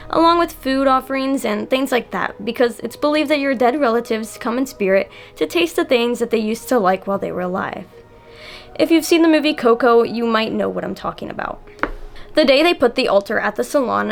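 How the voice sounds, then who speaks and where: A woman reading a scary story aloud as a storytime host and narrator. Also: on the high side. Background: music.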